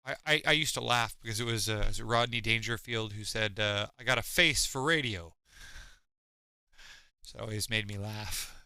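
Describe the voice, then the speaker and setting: A man's voice: lowish voice, normal speed, clear, resonant tone, dry recording. A man co-hosting a live painting stream, bantering casually and sometimes stammering into his sentences. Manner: relaxed banter with a few stammered restarts. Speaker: co-host. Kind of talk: casual chat.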